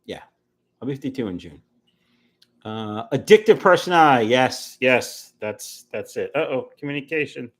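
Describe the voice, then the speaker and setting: A man in his early fifties hosts a casual livestream, reading viewer comments aloud and answering each in turn. His voice sits in a middle register but swings widely in pitch as he gets animated. He rambles and restarts as he talks. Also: normal speed. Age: 50s. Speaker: fishkeeping livestream host reading chat. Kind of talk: casual live chat.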